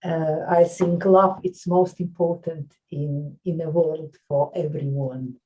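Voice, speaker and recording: A Lithuanian woman who works as a nurse and writes books, answering interview questions in halting English with frequent pauses and fillers. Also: deep voice, fairly even intonation, mellow, far from the mic, rough recording. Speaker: author and nurse.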